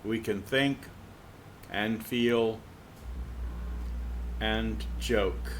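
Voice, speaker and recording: A man's voice: fairly low pitch, fairly even intonation, very slow, a little hiss or hum. A man reading aloud as a witty, digressive female cow narrating her story. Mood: wry.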